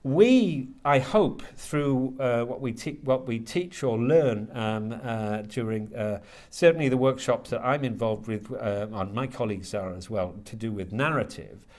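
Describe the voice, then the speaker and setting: A man with a mid-pitched voice talks as a lecturer being interviewed. He is reflective and halting, often doubling words and circling gradually toward what he means. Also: darkish tone. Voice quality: hesitant, halting delivery with repeated words. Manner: thoughtful, circling toward his point. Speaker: university creative writing lecturer being interviewed.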